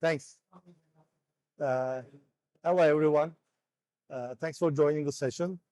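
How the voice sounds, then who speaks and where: A man with a Turkish accent gives a trade show presentation in a high voice. His phrasing is non-native, with frequent fillers and restarts. The sound is dry and close. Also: fairly even intonation, measured pace, a little mellow, dry recording. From Turkey. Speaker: company co-founder and CEO presenting. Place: noisy trade show floor.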